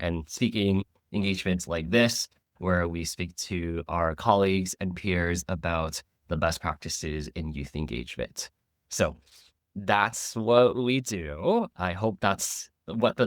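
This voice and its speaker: A man with a very low voice, nasal from a cold, speaking steadily into a video call in a dry, close-sounding room. He describes his nonprofit's work on a webinar panel, with plenty of "uh"s along the way. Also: unhurried, no room sound. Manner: steady, informative, frequent uh fillers. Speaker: nonprofit co-executive director.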